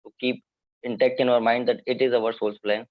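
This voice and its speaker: An Indian man with a mid-pitched voice politely asks a question from the audience. He sounds thin and phone-like, with no room echo. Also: level tone, a little fast.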